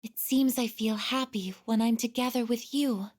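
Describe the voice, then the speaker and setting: A woman voicing a gentle, earnest young female android in a video game, with measured, formal, softly hesitant phrasing. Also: a little high, steady tone, lightly acted. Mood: wistful. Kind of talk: English video game voice acting.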